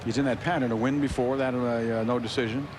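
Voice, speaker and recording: Male American baseball play-by-play announcer, lowish-key wry chat between clipped calls, heavy ballpark noise behind. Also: steady tone, fast. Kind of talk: live radio baseball commentary. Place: open-air ballpark broadcast booth. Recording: very noisy outdoor ballpark broadcast.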